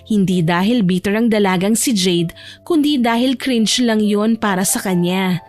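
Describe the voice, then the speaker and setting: A woman's voice: mid-range voice, moderate pace, a little mellow, soft background music. A woman narrating a romance story in a scripted audiobook style.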